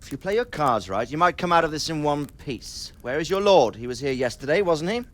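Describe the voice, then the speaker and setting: An actor playing an impatient, threatening medieval interrogator, pressing hard with questions in a video game drama. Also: male voice, fairly high pitch, expressive, a little fast, a bit bright.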